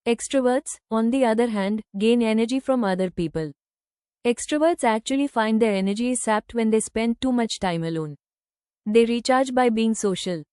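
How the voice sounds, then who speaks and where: A woman narrates a scripted passage with measured, careful phrasing. Her voice is fairly high, soft-edged and mellow, with a clean, smooth tone. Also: no room sound, clean studio sound. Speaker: reader of practice passages. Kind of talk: scripted read-aloud narration.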